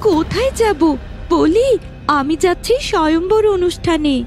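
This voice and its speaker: A woman voicing a gentle, mistreated young village stepdaughter in a cartoon, earnest and plaintive. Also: very high-pitched, lively intonation, normal speed, soft background music. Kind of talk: cartoon voice acting.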